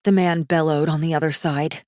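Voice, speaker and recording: A woman's voice: low voice, steady tone, normal speed, darkish tone, dry recording, clean studio sound. A woman narrating a fantasy audiobook in a dramatic, scripted style with tense, suspenseful storytelling.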